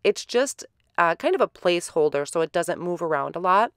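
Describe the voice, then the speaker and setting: A woman hosting a card-making tutorial in a relaxed, step-by-step voice-over, a little stuffy from a head cold. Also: fairly low pitch, brisk, clean tone, no echo, studio quality. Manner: relaxed, step-by-step explaining with fillers.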